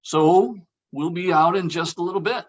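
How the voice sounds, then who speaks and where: Man playing higher-voiced brash buddy. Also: moderate pace, dull audio. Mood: covering awkwardly. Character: brash, teasing male buddy, inappropriate but quick to cover. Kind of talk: comedy script table read.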